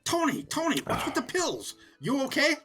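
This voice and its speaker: A man in a comedic radio play playing a chatty, nervous, dim-witted mob deputy with a husky voice. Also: very high voice, huge pitch swings, moderate pace, over music, full character voice. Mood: puzzled. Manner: rambling, eager, giggly wiseguy.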